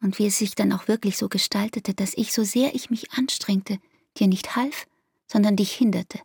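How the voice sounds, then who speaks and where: An actress playing an anguished, devoted young barmaid in an intimate radio play, with speech and a breathy, half-whispered, intense delivery. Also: female voice, medium pitch, fairly even intonation, moderate pace, darkish tone, dry recording, clean studio sound. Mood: tender, anguished.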